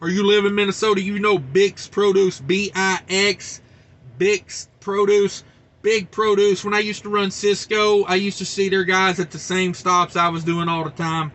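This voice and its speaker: A man with a high, bright voice hosts a casual trucking show. He reads news aloud and breaks in with folksy wisecracks and asides, loose and chatty throughout. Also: level tone, normal speed. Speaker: trucker channel host.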